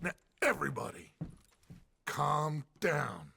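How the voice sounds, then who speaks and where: Gravelly, grandiose American outlaw leader. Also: male voice, on the high side, sing-song, bright, forceful tone, slight background noise, heavily acted. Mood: resolute. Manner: theatrical, deliberate, commanding. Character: middle-aged outlaw gang leader, grandiose, persuasive, embattled. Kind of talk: dramatic video game acting.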